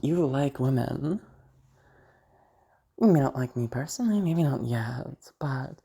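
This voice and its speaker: A woman playing a crazy, seductive female patient in an audio roleplay, teasing and menacing, with halting, drawn-out phrases that drop toward a hush. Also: low voice, creaky, breathy edge, heavily acted. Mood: brash, boastful, then seductive, taunting. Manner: teasing, halting, drawn-out words with sudden hushed drops. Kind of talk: audio roleplay monologue addressed to listener.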